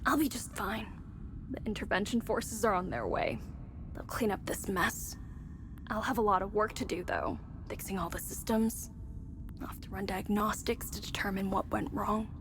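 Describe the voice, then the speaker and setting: An actress playing a chatty, wry military research scientist in a video game, explaining things in a measured, conversational way. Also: female voice, medium pitch, vocal fry, noisy background, lightly acted. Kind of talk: scripted game dialogue, conversational exposition.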